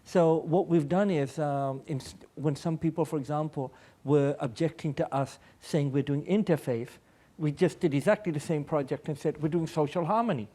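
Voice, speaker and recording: Mellow, higher-pitched man speaking on a panel. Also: normal speed. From born in Pakistan, American. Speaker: humanitarian aid worker, panel speaker. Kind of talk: public panel talk with personal anecdotes.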